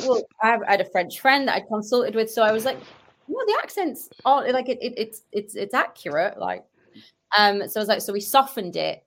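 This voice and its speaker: Chatty woman telling stories casually. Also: medium pitch. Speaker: podcast guest, actress and sketch comedian. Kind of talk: casual chat, telling anecdotes.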